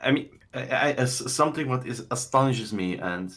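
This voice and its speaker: A man's voice: medium pitch, expressive, normal speed, fairly bright tone. Man, native german speaker, caller, hesitant, searching for words. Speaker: guest on call. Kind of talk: casual chat.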